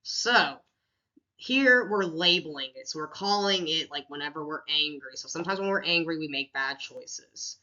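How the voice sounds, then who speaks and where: A woman teacher with a deep voice talks casually through a classroom lesson plan, with many fillers and restarts. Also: expressive, normal speed, sharp tone, muffled recording.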